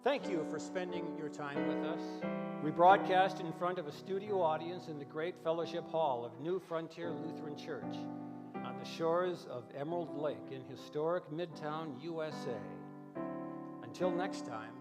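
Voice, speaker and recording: An older man hosting a church radio show, telling reflective stories in measured, deliberate phrases with pauses. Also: on the high side. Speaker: radio variety show host. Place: large church fellowship hall, live radio show. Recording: echoey hall, music behind.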